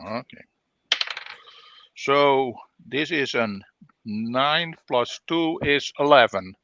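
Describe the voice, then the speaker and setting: A man talking casually as a player in a tabletop roleplaying game, halting as he thinks aloud. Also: mid-range voice, animated, vocal fry, dull audio, noisy background. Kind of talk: casual chat during game play.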